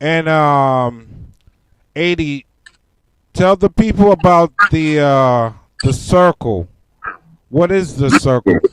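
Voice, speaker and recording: A man hosting a podcast interview in an unhurried, laid-back way. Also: fairly high pitch, expressive, clear, resonant tone, dry recording, poor audio. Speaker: podcast host. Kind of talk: casual interview.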